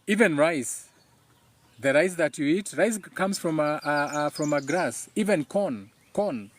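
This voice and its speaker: A man's voice: fairly high pitch, lively intonation, a little slow. A man with a Kenyan accent and Kikuyu as his first language, speaking informally as the host of a live talk, emphatic and preachy, often repeating his phrases.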